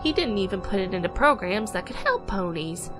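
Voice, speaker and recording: A woman's voice: medium pitch, big pitch swings, brisk, over music, lightly acted. A woman voicing a tender, folksy mother pony, telling bedtime stories in a motherly way. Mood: storytelling. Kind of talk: acted bedtime storytelling.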